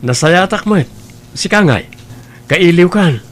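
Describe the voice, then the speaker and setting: Lalaki nga agak-akto kas nagaraw ti boses a barito. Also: male voice, sits high, expressive, unhurried. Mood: pleased, mild. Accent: Ilocano. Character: grown son, dutiful farmer in love. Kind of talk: Ilocano radio-style drama acting.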